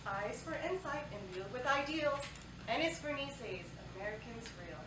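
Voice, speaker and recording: A woman's voice: fairly high pitch, animated, moderate pace, natural voice. An actress playing a cheerful young Japanese-American woman who leads a wartime letter-writing group, in an upbeat, projected staged-reading delivery. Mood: affectionate. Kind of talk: staged reading of a historical play. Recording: echoey stage, distant mic, background noise.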